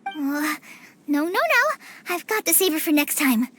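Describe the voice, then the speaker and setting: A woman voicing a polite, excitable young girl in a video game, with a sweet, girlish sound. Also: sits high, normal speed. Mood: eager. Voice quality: youthful, girlish. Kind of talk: video game cutscene acting.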